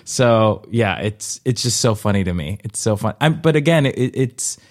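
A man's voice: lowish voice, lively intonation, normal speed. A young man from Colombia chatting casually as an interview guest, informal and hesitant, often rephrasing himself and checking for agreement. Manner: informal, hesitant and self-correcting, often seeking agreement with "you know". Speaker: interview guest, comedian and former dancer. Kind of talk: casual conversational interview, personal storytelling.

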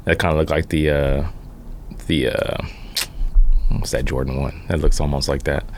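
Deep-voiced man chatting, some background noise. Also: steady tone, moderate pace. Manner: slangy anecdotal talk, acting out exchanges. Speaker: podcast guest. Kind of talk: casual chat. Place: podcast studio.

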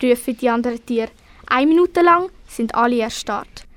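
A woman's voice: a little high, moderate pace, fairly bright tone. A teenage girl with a Swiss accent, narrating a scripted children's story. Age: teens. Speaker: storyteller in a school radio play.

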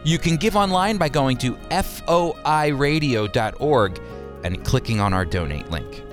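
A man with a mid-pitched voice speaks as a radio host and Bible teacher. His delivery is earnest and explanatory, with soft music behind him. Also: expressive, unhurried. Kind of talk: radio ministry talk.

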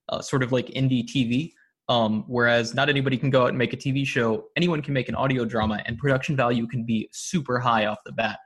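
A man's voice: mid-range voice, slightly rough. American man explaining in conversation. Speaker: podcast guest, audio drama producer. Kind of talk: casual interview conversation.

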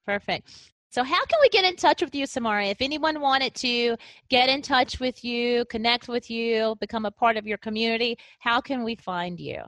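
A woman's voice: fairly high pitch, moderate pace, fairly bright tone, no room sound. A woman podcast host interviewing a guest, enthusiastic and affirming. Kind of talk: interview hosting. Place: lives in Guam.

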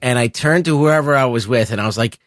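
A man's voice: mid-range voice, fast talker, dry recording. A man co-hosting a casual film discussion, speaking emphatically with lots of swearing into a close microphone. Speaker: podcast co-host reviewing films. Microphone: close podcast microphone.

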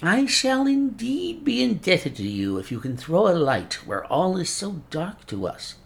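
A man's voice: high voice, wildly swooping pitch, measured pace, gravelly, pitched above the performer's own voice, full character voice. A man voicing a frail, chain-smoking old male scholar who speaks in a precise, mincing way and laments effusively. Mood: lamenting.

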